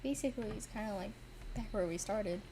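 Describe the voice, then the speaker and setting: A woman's voice: mid-range voice, brisk, heavy background noise. A woman doing casual gameplay commentary as a streamer. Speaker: game streamer.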